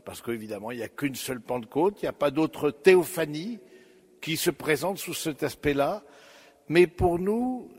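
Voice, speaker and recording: A man preaching a sermon, slow and reflective, addressing his listeners directly, with pauses and fillers. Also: mid-range voice, husky, long reverb tail.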